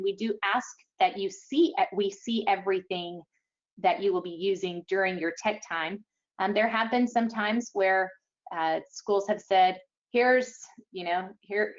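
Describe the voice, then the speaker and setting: A woman with a mid-pitched voice answers questions as a contest official. She explains things informally, with practical examples, over slightly muffled webinar audio.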